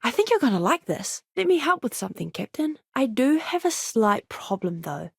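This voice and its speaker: A woman voicing an earnest, eager young ship's doctor in a video game, with a polite, expressive manner. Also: on the high side, moderate pace, creaky, slightly rough, no room sound, clean studio sound, heavily acted. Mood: apologetic, hesitant. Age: young adult. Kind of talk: game character voice lines.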